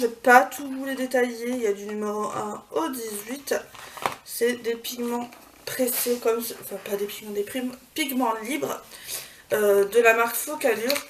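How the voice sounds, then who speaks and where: A woman vlogging a casual beauty haul, chatty, with many fillers and self-corrections. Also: fairly high pitch. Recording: far from the mic, clear background noise.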